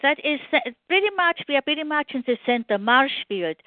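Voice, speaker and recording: A woman's voice: high voice, animated, fairly quick, dry recording. An older woman with a German accent, a radio guest telling about her past with many fillers and restarts. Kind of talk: interview conversation. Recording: tinny phone line.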